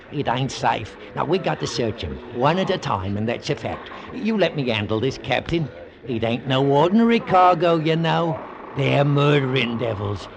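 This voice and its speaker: An actor playing a sly, hard-bitten convict jailer with rough, folksy, insinuating speech in an old-time radio drama. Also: male voice, on the high side. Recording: old radio recording with clear noise.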